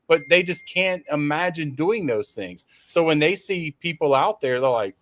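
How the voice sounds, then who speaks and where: A man's voice: a little high, lively intonation, normal speed, fairly bright tone, dry recording. A man hosting a casual podcast chat, joking with dry sarcasm.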